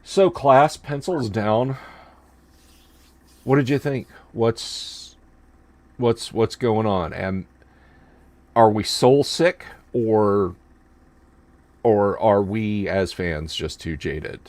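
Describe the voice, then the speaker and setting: A man's voice: lowish voice, very slow, pauses often, darkish tone, dry recording. A man hosting a casual sports podcast, chatty and tossing questions to his co-hosts with many fillers. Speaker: podcast host.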